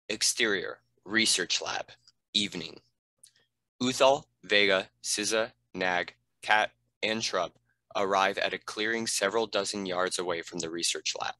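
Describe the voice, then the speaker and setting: A man's voice: low voice, pushed and bright, no echo. A man giving scripted narration, reading out the stage directions of a screenplay.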